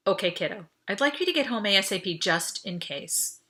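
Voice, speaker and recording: A woman voicing a brisk, protective father in an audiobook, speaking in a clipped, concerned manner. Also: mid-range voice, expressive, pushed and bright. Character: brisk, protective father calling his child from space. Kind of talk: audiobook character dialogue.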